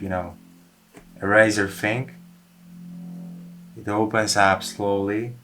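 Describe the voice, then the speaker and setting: A man's voice: lowish voice, fairly bright tone, rough recording. A man giving a casual tutorial as a music producer, with informal, slightly non-native phrasing. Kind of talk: casual track breakdown tutorial. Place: music studio. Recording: music playing behind the voice.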